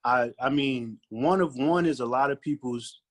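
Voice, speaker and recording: A man's voice: medium pitch, normal speed. A man talking casually and conversationally in slang as a guest recording artist in an interview.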